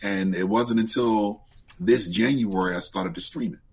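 A young man with a raspy voice, chatting casually as an interview guest and telling stories in a relaxed way, his pitch jumping up when he gets excited. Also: normal speed. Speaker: guest, streamer.

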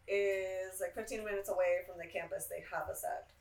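A woman's voice: fairly low pitch, fairly even intonation, brisk, creaky. A woman of twenty-five, an art student, chatting casually in an interview and laughing often between rambling answers. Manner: giggly, rambling with frequent laughs. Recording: roomy sound from a distant mic.

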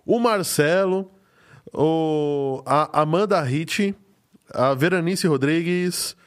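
A man's voice: a little high, slow. A Brazilian man hosting a podcast in a casual, informal, joking and slangy way. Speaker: podcast host. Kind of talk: casual chat.

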